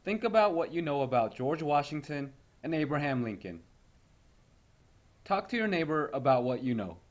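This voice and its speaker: A man reading an educational article aloud as a teacher, in a deliberate, instructional manner. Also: on the high side, sing-song. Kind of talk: scripted educational read-aloud.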